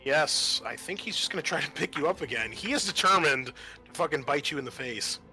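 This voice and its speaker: A man's voice: a little high, lively intonation, brisk, sharp tone. A man acting as game master, explaining rules and narrating action casually and a bit hesitantly. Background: music behind the voice.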